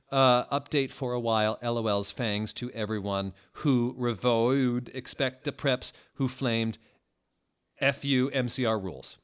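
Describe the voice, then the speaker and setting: A man's voice: mid-range voice, clean tone, pitched above the performer's own voice, lightly acted. A man voicing a bratty, defensive teenage girl author in a comedic reading of her notes.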